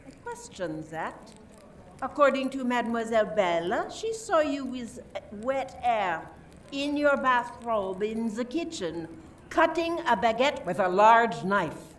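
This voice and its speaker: A theatrical lady police inspector from France, acted by a woman in an amateur radio mystery. She is suspicious and accusatory, speaking with deliberate drama. She is a little far from the mic, with faint noise behind her. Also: a little high, very animated. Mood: accusing. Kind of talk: amateur radio play acting.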